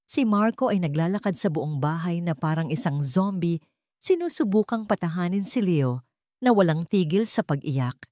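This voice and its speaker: A woman's voice: lowish voice, lively intonation, moderate pace, warm tone, no room sound, studio quality. A woman narrating a scripted dramatic audio story.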